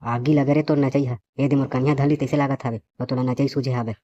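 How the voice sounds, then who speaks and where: A man's voice: medium pitch, flat delivery, brisk, natural voice. A man voicing a weary, grumbling male cartoon character in comic dialogue, complaining sarcastically with a Chhattisgarhi accent. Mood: grumbling refusal. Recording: dull lo-fi audio, faint noise.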